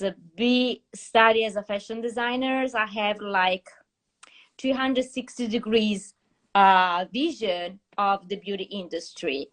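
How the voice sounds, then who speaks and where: A woman from Tuscany with an Italian accent, a hairstylist guest telling her story in a casual chat over a live video call, with non-native grammar and many restarts. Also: medium pitch. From Italy (Tuscany). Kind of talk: casual live-stream interview. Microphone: phone in a live video call. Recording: compressed live-stream audio.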